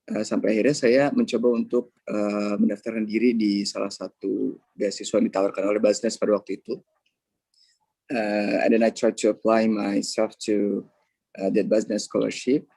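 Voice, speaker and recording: A young man from Indonesia with an Indonesian accent, telling his story hesitantly in a video call webinar and filling pauses with "eh". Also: on the low side, level tone, vocal fry, dark tone, slightly rough, dry recording. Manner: hesitant, many filler sounds, mixes in English. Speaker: scholarship awardee speaker. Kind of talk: webinar talk.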